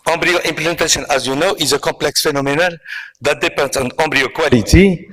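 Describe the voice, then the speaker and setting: Halting Moroccan-accented man lecturing on medicine. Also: fairly high pitch, a crowd in the background, poor audio. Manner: halting, with frequent pauses and hesitations. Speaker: physician giving a conference lecture. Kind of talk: medical presentation. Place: online conference talk.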